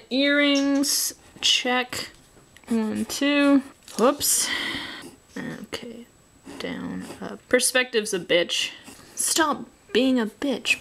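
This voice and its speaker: A woman's voice: fairly high pitch, a bit bright. Chatty woman drawing and joking. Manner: chatty, joking, talks to herself while drawing. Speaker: artist teaching drawing. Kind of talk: casual drawing tutorial commentary.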